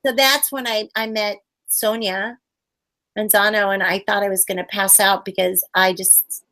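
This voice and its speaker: A middle-aged woman puppeteer telling stories in a casual interview, bubbly and animated, acting out quotes in high voices. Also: normal speed.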